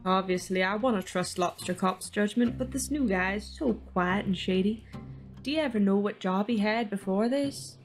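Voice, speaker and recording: A woman's voice: mid-range voice, normal speed, pitched above the performer's own voice, natural voice. A woman voicing a suspicious, gold-loving sloth in a video game, speaking in a matter-of-fact way. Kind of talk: video game character read-aloud.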